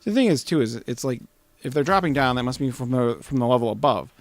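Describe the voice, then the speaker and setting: A man's voice: mid-range voice, expressive, quick pace, dry recording. A man playing a video game and chatting casually, with wry joking asides. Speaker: gaming video co-host and player. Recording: slightly noisy home gameplay recording.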